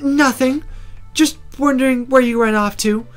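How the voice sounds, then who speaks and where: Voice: sits high, unhurried, soft background music, pitched above the performer's own voice. A game player voicing a brash, bossy teenage schoolgirl in a visual novel, with breezy, cheeky teasing. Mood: breezy, evasive.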